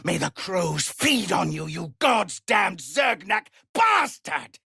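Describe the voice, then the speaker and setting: An actor playing a weary, dutiful tiefling ex-soldier who leads refugees in a video game, speaking in a grave, measured, earnest way. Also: male voice, high voice, very animated, gravelly, sharp tone, slightly rough, dry recording, raised voice, studio quality, full character voice. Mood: angry, commanding. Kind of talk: dramatic video game character acting.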